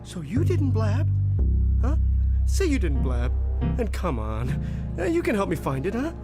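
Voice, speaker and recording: A woman's voice: medium pitch, wildly swooping pitch, a little slow. A woman playing a frantic, paranoid ventriloquist in an audio horror drama, pleading and accusing in breathless repeated phrases. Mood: suspicious, pressing. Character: frantic, paranoid rival ventriloquist fearing a living dummy. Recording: music behind the voice.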